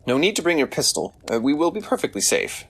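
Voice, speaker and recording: An actor playing a confident, analytical detective in an audio drama, speaking in a measured, formal way. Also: male voice, fairly high pitch, sing-song, creaky, fairly bright tone, husky, exaggerated voice. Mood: secretive, purposeful.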